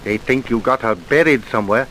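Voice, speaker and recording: A man's voice: mid-range voice, a little fast, a bit bright, close to an everyday voice, poor audio. A man playing an evasive, colloquial truck driver turned informer in an old radio drama. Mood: coaxing, hushed. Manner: colloquial, evasive, working-class plainness. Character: wary, wheedling male dirt-truck driver turned informer. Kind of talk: vintage radio crime drama acting. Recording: hissy old radio recording.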